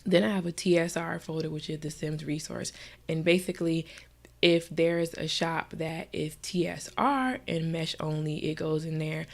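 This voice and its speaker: A woman's voice: deep voice, fairly even intonation, moderate pace, creaky. Stuffy-nosed woman chatting casually. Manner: chatty, many fillers, soft at times. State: stuffy and nasal. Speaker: gaming vlogger. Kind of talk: casual screen-recorded tutorial chat.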